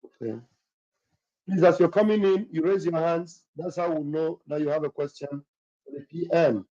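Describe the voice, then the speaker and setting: A man's voice: fairly high pitch, fairly even intonation, normal speed, warm tone. A man moderating a live audio chat room, giving firm, repeated directions to the callers. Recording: lo-fi online audio stream.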